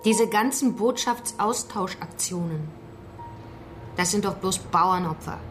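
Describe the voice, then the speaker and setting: A woman's voice: mid-range voice, slow pace, soft background music, lightly acted. An actress playing a combative, scornful and driven woman activist in a German radio drama. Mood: cynical. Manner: combative, sarcastic.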